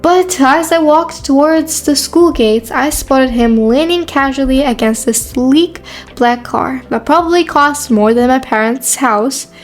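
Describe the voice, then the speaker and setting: A woman playing a guarded, quiet new high school girl with a dry, defensive manner in a teen romance drama. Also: measured pace, faint music behind. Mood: flustered, reflective. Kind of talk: first-person narration and dialogue in a teen romance.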